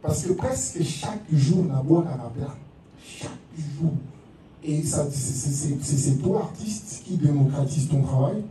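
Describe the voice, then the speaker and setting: A man's voice: on the high side, expressive, measured pace, warm tone. A man with a Congolese French accent speaking informally as an artist in a panel discussion, often repeating words. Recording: very echoey room, distant mic, faint noise.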